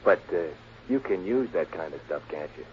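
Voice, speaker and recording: An actor playing a sly, greedy private detective, cagey and drawling, in a 1940s radio drama. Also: male voice, low-pitched, animated, moderate pace. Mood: cagey, bargaining. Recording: muffled, noisy 1940s radio recording.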